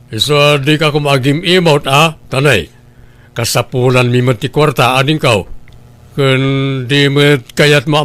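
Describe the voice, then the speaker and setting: Scheming, demanding husband male actor, middle-pitched voice, pushy lecturing radio drama delivery. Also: a bit bright, no room sound, lightly acted. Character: Lando, pushy scheming husband, demanding money from brother-in-law. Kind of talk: Ilocano radio drama acting.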